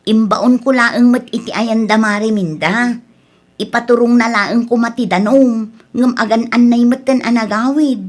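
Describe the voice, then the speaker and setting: A woman acting as a worried grown daughter in a comedy radio drama. Her voice is moderately high as she earnestly explains herself. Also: fairly even intonation, moderate pace, close to an everyday voice. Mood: worried, explaining.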